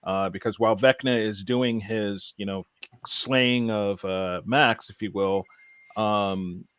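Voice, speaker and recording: A man co-hosting a podcast, casually recounting a show in an earnest, halting way. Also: lowish voice, steady tone, a bit bright, smooth, no room sound, muffled recording. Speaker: podcast co-host.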